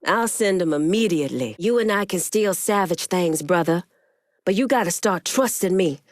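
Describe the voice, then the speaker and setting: An actress voicing a proud, commanding woman crime boss in a video game, with a firm, deliberate and authoritative delivery. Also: lowish voice, animated, no echo, studio quality, lightly acted. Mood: composed, assured.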